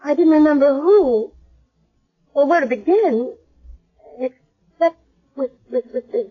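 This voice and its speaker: An actress playing a timid, bewildered young woman with amnesia in a 1970s radio drama, speaking in a halting, hesitant way. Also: sits high, lively intonation. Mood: confused, anxious. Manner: hesitant, halting, repeating words. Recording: dull lo-fi old radio broadcast.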